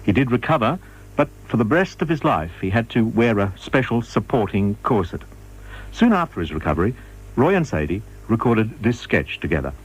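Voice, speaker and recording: Low, expressive Australian male narrator. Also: normal speed, husky, low-quality recording. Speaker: documentary narrator and interviewer. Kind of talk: scripted biographical radio narration.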